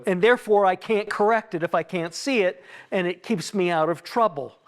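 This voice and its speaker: Man with a fairly high voice, an instructor giving a hands-on technical demo, musing aloud and explaining in asides. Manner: halting, self-questioning, explanatory asides. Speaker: acoustics consultant leading a tuning workshop. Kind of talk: informal live technical demonstration, thinking out loud.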